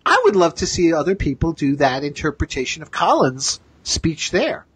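A man co-hosting a casual pop-culture discussion with an enthusiastic, halting fan's delivery. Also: fairly high pitch, very animated, a bit bright, breathy edge, dry recording. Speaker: podcast co-host.

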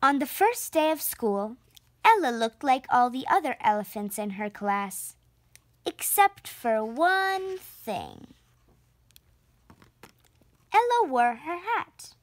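A woman reading a children's storybook aloud in an expressive, deliberate narrating style. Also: high-pitched, no room sound. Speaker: storybook reader.

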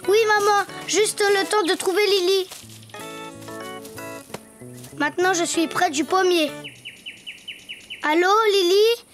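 Eager little cartoon donkey boy, very high voice, slow delivery, playful exclamations, music behind. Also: steady tone. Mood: curious, cheerful. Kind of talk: children's cartoon voice acting.

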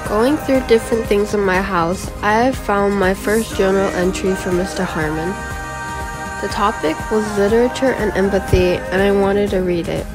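A fifteen-year-old girl from Guam reading her autobiography aloud as a school presentation, in an earnest, steady voice. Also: mid-range voice, moderate pace. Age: teenager, 15. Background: background music.

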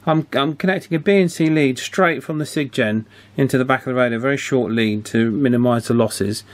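A man's voice: mid-range voice, fairly quick. A man giving an informal hands-on demonstration, hesitant and thinking aloud as he works. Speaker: radio repair hobbyist presenting. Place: indoor electronics workbench.

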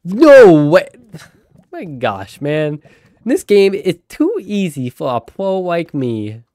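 A man's voice: fairly high pitch, takes it slowly, no echo, clean studio sound. A young man with a stuffy, nasal voice from a cold, rambling casually through gameplay commentary. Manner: rambling, chatty, with sudden excited outbursts. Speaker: gaming channel host.

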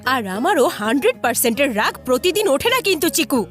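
A woman's voice: sits high, sing-song, fast, a bit bright, faint music behind. A woman voicing a hot-tempered, theatrical mother in a cartoon dub. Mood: exasperated. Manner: exasperated, theatrical. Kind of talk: cartoon dubbing.

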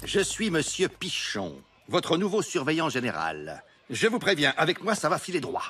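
A man's voice: a little high, very animated, moderate pace, sharp tone, husky, soft background music, heavily acted. A man playing a stern, fussy school supervisor in a children's cartoon, pompous and strict. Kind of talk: animated children's cartoon acting.

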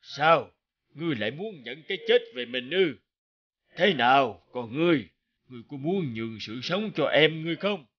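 A man playing a huge horned demon lord in an animated folk tale, commanding, deliberate and threatening. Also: fairly high pitch, lo-fi sound. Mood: stern.

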